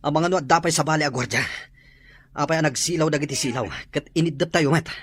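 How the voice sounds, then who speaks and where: An actor playing a bossy, panicky bandit in a radio drama, barking orders and scolding. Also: male voice, fairly high pitch, quick pace, fairly bright tone, slightly rough. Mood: angry scolding.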